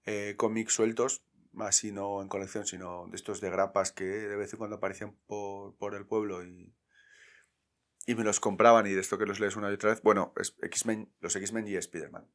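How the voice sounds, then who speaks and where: A man's voice: on the low side, fairly even intonation, normal speed. A man co-hosting a podcast in casual chat, wandering through his thoughts with many fillers. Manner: meandering, hesitant, with many fillers. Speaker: podcast co-host.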